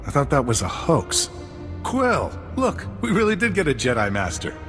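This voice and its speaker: Plain-spoken, embattled male captain, mid-pitched, game dialogue in long reverb with music. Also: huge pitch swings, normal speed. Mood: surprised. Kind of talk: video game cutscene acting.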